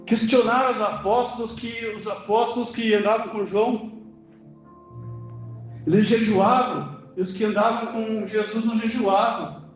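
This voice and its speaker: Echoey, high-pitched Brazilian male preacher. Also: steady tone, a little slow. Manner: emphatic, asks questions and answers them, prompts congregation. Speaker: preacher, church elder. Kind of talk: conversational sermon with rhetorical questions. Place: indoor church service, music in the background. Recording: very echoey church hall, music behind.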